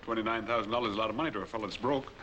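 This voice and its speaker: A man's voice: fairly low pitch, very fast, lo-fi sound, close to an everyday voice. An actor playing a guarded, down-on-his-luck drifter in a 1940s film noir, speaking in terse, clipped replies. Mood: tense, guarded. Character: guarded, down-on-his-luck male drifter running a bank con. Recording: noisy 1940s film soundtrack.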